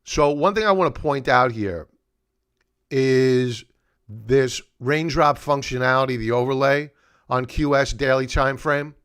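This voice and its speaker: A man's voice: medium pitch, unhurried, fairly bright tone, clean tone. Middle-aged man hosting a live stream. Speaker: live stream host, stock market analyst. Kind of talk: unscripted live commentary, chatting to viewers.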